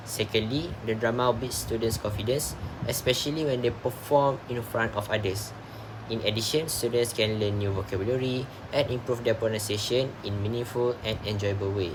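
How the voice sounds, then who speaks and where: A man's voice: on the low side, background noise. A young man presenting a class reflection, hesitating with ums and restarts. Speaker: student presenter.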